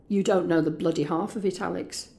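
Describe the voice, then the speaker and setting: A woman voicing a traumatised, vengeful middle-aged mother, flat, hushed and bitter, in an audio drama. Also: on the low side, a little fast, gravelly, voice pushed down. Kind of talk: recounted dialogue in audio drama.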